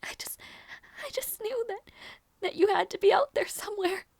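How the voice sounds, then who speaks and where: A woman playing a gentle young princess in an intimate audio roleplay, devoted and emotional, with a breathy, halting delivery. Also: very high voice, dry recording. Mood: tender, emotional. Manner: breathy, halting, stammering, often near whisper.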